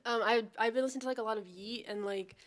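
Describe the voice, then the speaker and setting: A woman's voice: a little high, fast. A young woman from Texas, a musician guest in a casual interview, rambling and upbeat with lots of "like". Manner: rambling, upbeat, heavy "like" fillers.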